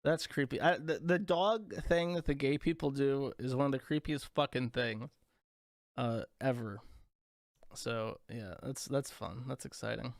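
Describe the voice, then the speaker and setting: A man's voice: mid-range voice, a little slow, creaky, dry recording, studio quality. A young man hosting a casual livestream, rambling, crude and sarcastic as he talks to chat. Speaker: livestream host.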